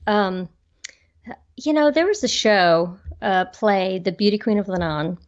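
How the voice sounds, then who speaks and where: A woman's voice: medium pitch, measured pace, muffled recording. A woman from Georgia, a bubbly actress chatting as a guest on a video call, laughing often and joking about herself. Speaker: actress and voiceover artist, guest. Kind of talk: casual interview chat.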